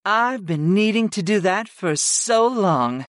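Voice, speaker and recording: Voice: lively intonation, unhurried, fairly bright tone, dry recording, studio quality. A narrator voicing a devoted female winged succubus servant from a fantasy audiobook, submissive and pleading, with a sighing purr. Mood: purring, eager. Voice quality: high, sighing, purring.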